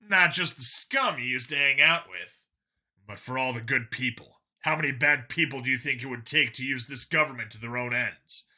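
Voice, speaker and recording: A man's voice: medium pitch, moderate pace, bright, forceful tone. A man voicing an earnest, argumentative, world-weary male cop in an audiobook, arguing in long, insistent speeches. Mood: heated, bitter.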